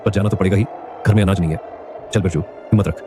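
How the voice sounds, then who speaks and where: An actor voicing a poor young laborer in an animated story, earnest and pleading. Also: male voice, low voice, fast talker, over music, natural voice. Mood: shivering.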